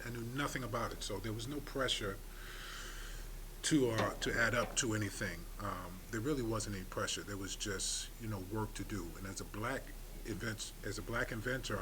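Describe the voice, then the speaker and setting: A man speaking as a panelist, answering reflectively and haltingly, often repeating his phrases. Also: lowish voice. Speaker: panelist, inventor. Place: live event panel. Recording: clearly noisy live room.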